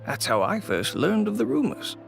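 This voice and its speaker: An actor playing a weary middle-aged fashion shop owner and cautious father, speaking in a measured, reluctant way in a video game. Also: high voice, huge pitch swings, vocal fry, soft background music, heavily acted. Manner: measured, reluctant, lightly sighing.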